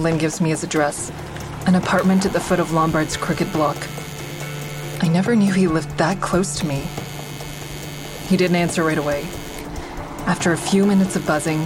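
A woman's voice: low-pitched, lightly acted. An actress playing a tense, watchful woman who narrates her own troubled story in an audio drama. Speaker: narrator and lead actor in a fiction podcast. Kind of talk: first-person dramatic narration.

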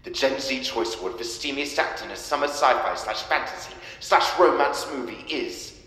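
An actor playing a solemn, grandiose awards show presenter in an audio drama. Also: male voice, moderate pace, pushed and bright, lightly acted. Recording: echoey hall with rumbling noise.

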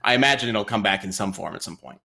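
A man's voice: fairly low pitch, animated, fast, gravelly, pushed and bright. A man hosting a livestream, chatting casually, teasing his co-host and reading viewer questions. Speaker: host of a web show.

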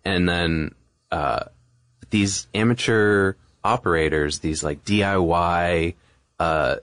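A man's voice: low voice, slow, a bit bright, no echo, clean studio sound. A man co-hosting a podcast, commenting casually in a reflective, informal way. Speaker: podcast co-host.

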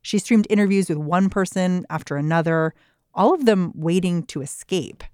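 A woman hosting a news podcast, narrating a scripted segment in a brisk, clear storytelling manner. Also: on the low side, a little mellow, no echo, clean studio sound. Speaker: podcast host.

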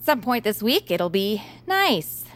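An actress playing a reluctant, aimless young radio host in a comic audio drama, hesitant and vague. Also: female voice, medium pitch, big pitch swings, slow, fairly bright tone. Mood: halfhearted. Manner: hesitant, halfhearted, awkwardly vague.

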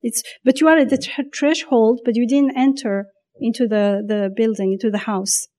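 A woman talking reflectively about faith in a conversation, pausing often and restarting her thoughts as she searches for words. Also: fairly high pitch, steady tone, warm tone.

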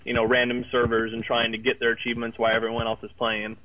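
A man's voice: mid-range voice, completely flat delivery, fast talker, narrow, tinny audio. Casual man recounting things, slightly hesitant. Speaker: podcast guest reporting back. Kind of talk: casual chat.

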